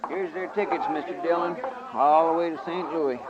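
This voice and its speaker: A man's voice: a little high, fairly even intonation, normal speed, very noisy, close to an everyday voice. An actor playing a polite, earnest American frontier lawman's helper in a radio western, plain-spoken in manner. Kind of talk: old-time radio western acting. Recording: muffled old radio, loud crowd behind.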